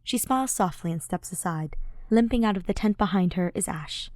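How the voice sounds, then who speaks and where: A woman's voice: lowish voice, lively intonation, brisk, a little mellow, dry recording. Dry-sounding woman narrating fiction. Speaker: podfic narrator. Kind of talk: scripted fiction narration, audiobook reading.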